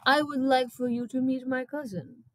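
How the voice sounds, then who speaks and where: Woman voicing an eerie, slow-speaking commanding storybook lady. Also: high voice, steady tone, a bit bright, no echo, pitched above the performer's own voice. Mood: eerie calm. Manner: slow, deliberate.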